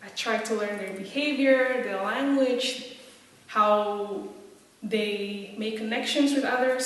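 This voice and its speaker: A woman from Indonesia who grew up in several countries, thinking aloud hesitantly as she answers in a reflective interview. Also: fairly high pitch. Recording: very echoey room, distant mic.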